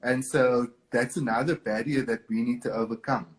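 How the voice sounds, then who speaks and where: A man's voice: medium pitch, fairly even intonation, normal speed. A man from Cape Town with a South African accent, a guest speaking earnestly and encouragingly in a live video interview. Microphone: video call.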